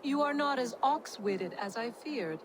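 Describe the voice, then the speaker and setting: A woman's voice: a little high, expressive, a little slow. Scornful, shrewd woman, noisy background. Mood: scornful, businesslike. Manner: blunt, mocking. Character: scornful, shrewd, self-serving woman bargaining with a god. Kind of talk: video game cutscene dramatic acting.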